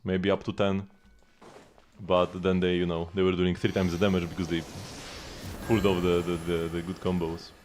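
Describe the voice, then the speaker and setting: Low-voiced man chatting through game commentary. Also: little pitch movement, moderate pace, darkish tone, a little hiss or hum. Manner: rambling, thinking aloud. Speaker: game streamer. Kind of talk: casual live gameplay commentary. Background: game music.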